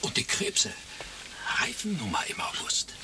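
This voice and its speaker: An actor playing a sly, florid crab hunter who talks with mock courtesy in a German radio play. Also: male voice, a little slow, whispered, close to an everyday voice. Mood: coaxing.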